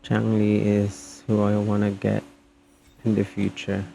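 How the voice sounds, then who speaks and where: A man's voice: fairly low pitch, completely flat delivery, measured pace, vocal fry, dark tone, slight background noise, low-quality recording. A man giving casual commentary as he streams a video game.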